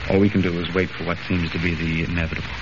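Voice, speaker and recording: Man acting a grave deep-voiced doctor. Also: little pitch movement, lightly acted. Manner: measured, solemn. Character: grave, resigned hospital doctor. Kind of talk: 1940s radio serial acting. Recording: noisy, muffled vintage radio recording.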